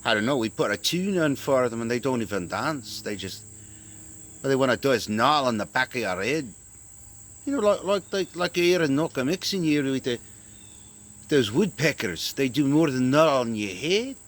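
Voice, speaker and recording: A man's voice: mid-range voice, expressive, moderate pace, natural voice. A man putting on a folksy Northern Irish leprechaun. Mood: grumbling. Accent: put-on Northern Irish lilt. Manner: folksy, rambling, chuckling. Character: gossipy male leprechaun from northern Ireland.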